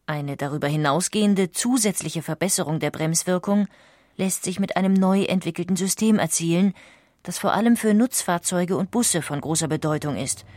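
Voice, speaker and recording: A woman reading scripted documentary narration in a measured, factual way. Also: on the low side, a little mellow, no room sound, studio quality.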